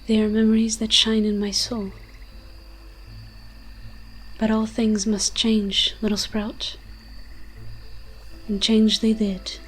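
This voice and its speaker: A woman's voice: medium pitch, monotone, slow, pauses often, breathy edge, faint music behind, poor audio. A woman voicing an ancient, moss-covered forest demon in an audio roleplay, tender and protective, speaking in solemn, poetic, archaic storytelling phrases. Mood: sorrowful, foreboding.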